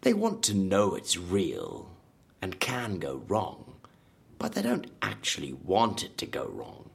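An actor in an audio drama playing a vengeful, obsessive horror theme park designer, bitter and grandiose, with a husky voice. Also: male voice, sits low, wildly swooping pitch, takes it slowly, full character voice. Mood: intense. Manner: brooding, bitter, grandiose, prone to outbursts.

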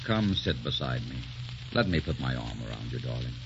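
Deep-voiced tormented romantic poet. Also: male voice, very animated, normal speed. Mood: adoring, haunted. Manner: murmuring, impassioned, melodramatic. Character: tormented, adoring poet husband, haunted and desperate. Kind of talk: 1940s radio romance acting. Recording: muffled 1940s radio broadcast, music behind.

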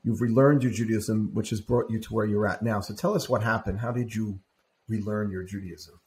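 A man's voice: fairly low pitch, steady tone, fairly quick, darkish tone, roomy sound. A man hosting a podcast interview, welcoming his guest with enthusiasm and restarting his sentences now and then. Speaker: podcast host, rabbi.

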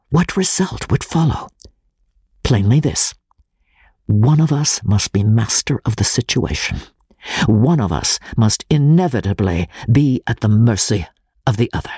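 A man narrating classic literature in the first person as a dramatic reading, in a measured, formal, deliberate manner. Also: medium pitch, a little mellow, breathy edge, dry recording, studio quality. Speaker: audiobook narrator.

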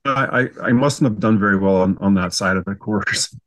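Low-pitched Canadian man, easygoing storyteller. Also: moderate pace. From Canada, southern Saskatchewan. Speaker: podcast guest. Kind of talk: casual storytelling in an interview.

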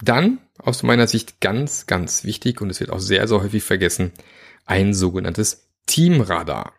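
Man with a gravelly, croaky voice. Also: lowish voice, expressive, moderate pace. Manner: chatty, many fillers and asides. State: has a cold, sore throat. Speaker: agile coach teaching teams. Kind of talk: informal spoken lesson.

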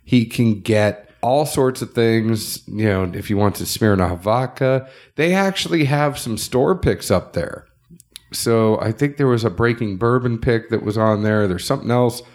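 A man's voice: mid-range voice, a little slow, a little mellow. A man with a nasal voice co-hosting a casual podcast, chatting loosely and running through sponsor plugs. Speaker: podcast co-host.

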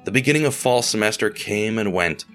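Bright-voiced man narrating a dramatic story. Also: lowish voice, animated, brisk, faint music behind.

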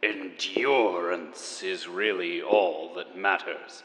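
An actor playing a grandiose, theatrical chronicler enduring after the apocalypse, pompous and melodramatic in an audio drama monologue. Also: male voice, deep voice, fairly even intonation, slow pace, a bit bright, big-room sound, slight background noise, rough recording. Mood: brooding, grave. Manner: pompous, melodramatic, savoring each word.

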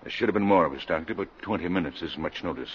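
Low, fast-talking American mayor. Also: male voice, husky. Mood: brisk, decisive. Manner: brisk, formal. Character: businesslike, decisive male city mayor. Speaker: actor. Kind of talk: 1950s American radio drama acting. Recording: dull, noisy vintage 1950s radio recording.

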